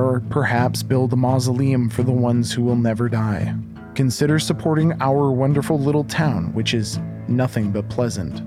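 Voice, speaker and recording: Man narrating horror over music. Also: mid-range voice. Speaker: horror podcast narrator and host. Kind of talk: scripted horror storytelling.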